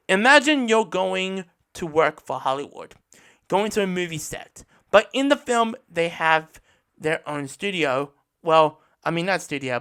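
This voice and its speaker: A man's voice: on the high side, pushed and bright, clear, resonant tone. A man from Australia hosting a podcast in a casual solo talk, earnest and enthusiastic, often repeating and rephrasing as he goes. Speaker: podcast host. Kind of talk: casual podcast monologue, reviewing anime.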